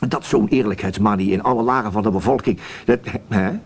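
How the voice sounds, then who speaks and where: An actor playing a flustered, excitable sheriff in a comedy radio play, stammering, rambling and repeating himself. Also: male voice, on the low side, quick pace, gravelly, slight background noise. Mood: excited, incredulous. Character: flustered, excitable American small-town sheriff, just woken.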